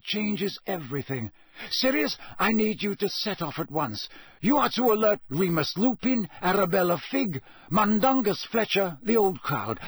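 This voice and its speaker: A man's voice: high voice, breathy edge, no echo, pitched above the performer's own voice, exaggerated voice. A man doing a commanding old headmaster. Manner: measured, commanding, gently formal. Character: old wise male headmaster, calm authority.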